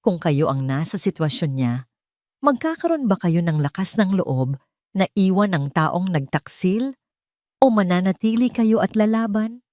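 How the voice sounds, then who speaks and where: A woman's voice: medium pitch, expressive, measured pace, warm tone, no echo, clean studio sound. A woman reading a scripted, dramatic romance story as narrator.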